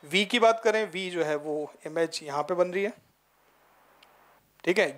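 A man in his forties, a physics teacher giving a classroom lecture with a headset mic in a studio. He talks in an explanatory way, often tells students to look carefully, and mixes in English terms. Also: high-pitched. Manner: gestures and points at the board while talking. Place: studio in front of a projected board.

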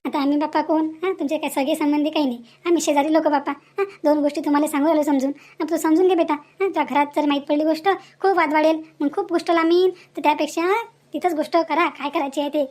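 A woman's voice: sits high, steady tone, fast, hardly any pauses, a little mellow, close to an everyday voice. A woman voicing a pleading, scolding motherly village neighbour in a cartoon drama, insistent and repetitive, with a rural Maharashtrian Vidarbha accent. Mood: persuading. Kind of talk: Marathi cartoon moral drama dialogue.

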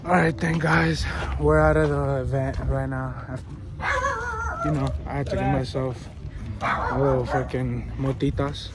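Young man, casual vlog, slangy, laid-back, swears a lot, outdoors on phone, loud street noise. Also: medium pitch, level tone, measured pace. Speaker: vlogger. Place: outdoors at a crowded street event.

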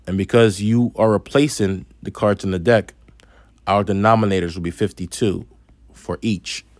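Male math teacher, lowish voice, slight lisp, patient step-by-step delivery, dry close-mic voice-over. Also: steady tone, moderate pace, dry recording. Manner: patient, step-by-step explaining with asides. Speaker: math instructor. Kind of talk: screen-recorded math lesson.

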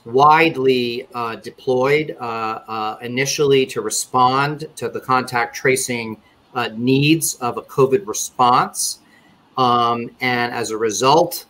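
A middle-aged man giving a webinar presentation on a video call, speaking in a measured way with frequent fillers and restarts. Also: mid-range voice, steady tone, bright, forceful tone, low-quality recording. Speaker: conference panelist presenting survey results. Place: indoor office or home room.

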